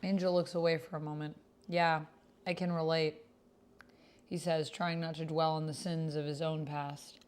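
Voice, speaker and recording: A woman with a slightly low voice hosts a tabletop roleplay. She reads the text expressively and drops into casual asides of her own. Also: level tone, fairly quick, hardly any pauses, darkish tone, slight background noise. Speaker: solo tabletop roleplay player and host. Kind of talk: reading aloud text roleplay with casual commentary.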